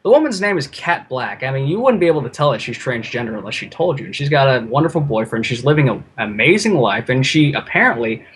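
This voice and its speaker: Male guest, husky mid-range voice, casual and rambling conversational delivery. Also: mid-range voice, sing-song, fast talker, few pauses, fairly bright tone. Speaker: podcast guest, listener. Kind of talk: casual chat.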